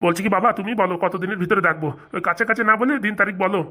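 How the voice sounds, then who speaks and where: A woman's voice: mid-range voice, racing, pushed and bright. A woman playing a fussy, muddled male astrologer priest in a comic cartoon, muttering and repeating himself. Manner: muttering, repetitive, easily muddled.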